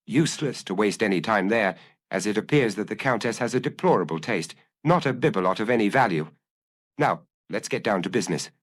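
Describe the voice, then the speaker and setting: A man voicing a suave, self-assured gentleman burglar musing to himself, urbane and drily amused, in a storybook reading. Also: on the low side, lively intonation, a little fast. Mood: brisk, pleased.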